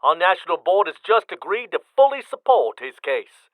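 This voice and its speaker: An actor playing a cautious civil liberties official who speaks in a formal, measured and apologetic way. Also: male voice, high voice, big pitch swings, fairly bright tone, dry recording, voice pushed up, rough recording. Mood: grave.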